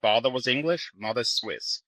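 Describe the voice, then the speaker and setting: A man's voice: mid-range voice, moderate pace, a bit bright, dry recording. A man who grew up in Ireland, with a mixed, Irish-influenced accent, explains things as a podcast guest in an emphatic, earnest, conversational way. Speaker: podcast guest, bodybuilding coach.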